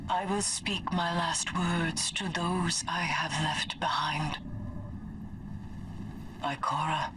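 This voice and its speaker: Slow, low-voiced solemn fallen warrior woman. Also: flat delivery, far from the mic, noisy background. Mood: solemn, tender. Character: solemn fallen female warrior bidding farewell. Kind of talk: dramatic game monologue.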